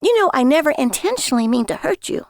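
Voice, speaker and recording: A woman voicing a sharp-tongued, volatile wife in an audio story, with emphatic, exclamatory delivery. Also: sits high, very animated, fast, gravelly, no echo, clean studio sound, pitched above the performer's own voice, full character voice. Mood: coaxing.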